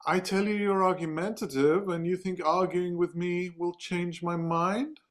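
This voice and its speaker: A man's voice: high-pitched, steady tone, measured pace. A man playing a stern, dismissive office boss with a curt, condescending manner in a dramatic table read.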